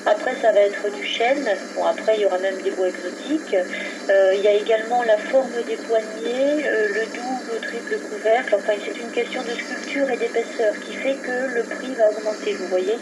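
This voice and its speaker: A woman's voice: steady tone, moderate pace, over music. A woman working as a helpline operator on a phone call, explaining things in a matter-of-fact way. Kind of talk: customer service phone conversation. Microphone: telephone line. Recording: noisy low-quality telephone recording from 2001.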